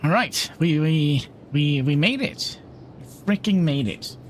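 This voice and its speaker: Guy streaming a game, slow easy talk, reads the dialogue out, faint room noise. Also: on the high side, animated. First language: Norwegian. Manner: unhurried, reads game dialogue aloud, chats with viewers. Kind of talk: livestream gameplay commentary.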